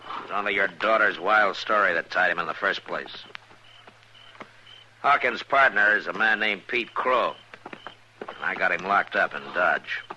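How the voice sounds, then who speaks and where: Stern western marshal: low, hard-driving voice, firm and clipped authority, vintage radio sound with light noise. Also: male voice, unhurried, pauses often, sharp tone, heavily acted. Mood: firm, accusing. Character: stern, steady frontier marshal, a grown man. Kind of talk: old-time radio western drama acting. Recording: dull, lightly noisy old radio recording.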